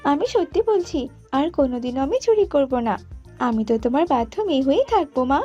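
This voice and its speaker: A woman's voice: sits high, sing-song, quick pace, dark tone, highs cut off, soft background music. A woman voicing a mischievous young daughter bird in a cartoon, wheedling and playful. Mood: coaxing.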